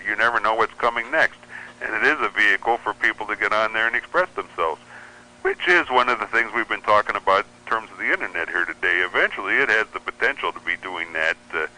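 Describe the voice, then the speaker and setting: A man's voice: lowish voice, bright, forceful tone, poor audio. A man from the United States in a casual interview, explaining things in a discursive way as a hobbyist guest. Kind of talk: casual interview talk about hobby radio.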